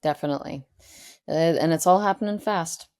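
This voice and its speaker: A woman's voice: lowish voice, brisk, a little mellow, no echo, studio quality. A woman hosting a podcast interview, talking casually with filler words. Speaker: podcast host. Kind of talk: conversational interview.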